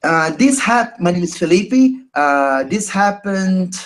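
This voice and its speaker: Brazilian man, thirties, portuguese accent, halting speech, lots of fillers, video call. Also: high voice, sing-song, fairly bright tone. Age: 30s. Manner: hesitant, halting, many fillers. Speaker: caller. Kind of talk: casual chat.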